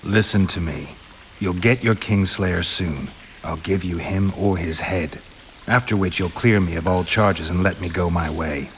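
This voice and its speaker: An actor playing a stoic, world-weary monster hunter in a video game, terse and dryly understated. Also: male voice, low voice, unhurried, a little hiss or hum. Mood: resolute. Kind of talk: video game cutscene acting. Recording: rain and ambience behind the voice.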